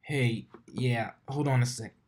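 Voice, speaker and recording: A man's voice: mid-range voice, slow pace, darkish tone, voice pushed up. A man voicing a casual, boyish young male dragon in a cartoon fan story.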